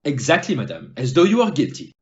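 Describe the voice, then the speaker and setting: A man performs a shrewd, courteous detective in an audio drama. His speech is formal and pointed, with a lively, swinging melody. The recording is a little dull and muffled. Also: medium pitch. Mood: pointed, reproving. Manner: formal, measured, pointed phrasing. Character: polite, shrewd, blunt male Belgian detective. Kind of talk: amateur audio play acting.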